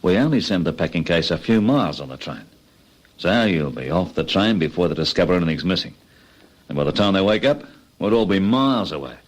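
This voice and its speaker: An actor playing a cocky, impatient Australian criminal ringleader in an old radio crime drama, talking colloquially and persuasively. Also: male voice, low voice, lively intonation, a little fast. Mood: insistent, scheming.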